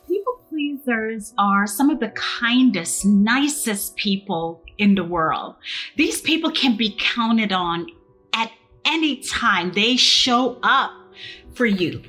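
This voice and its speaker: Slow, earnest woman speaker. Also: fairly high pitch, a bit bright. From Jamaica. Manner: slow, earnest storytelling with pauses. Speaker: motivational speaker. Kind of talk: personal motivational talk.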